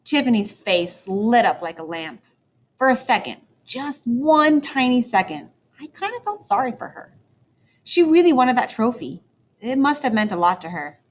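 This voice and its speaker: A woman teacher reading a children's story aloud, with expressive, dramatic emphasis for young listeners. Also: fairly high pitch, moderate pace. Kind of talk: animated children's book read-aloud.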